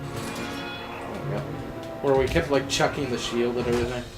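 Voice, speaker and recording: A man's voice: medium pitch, steady tone, moderate pace, reverberant. A man co-hosting a let's play, chatting casually and joking with lots of fillers while game music plays. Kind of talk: casual gameplay commentary.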